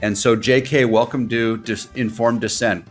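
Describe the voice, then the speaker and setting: A man hosting an interview show in a relaxed, rambling way, openly bad at remembering names. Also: medium pitch, normal speed, faint music behind. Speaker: podcast host. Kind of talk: casual chat.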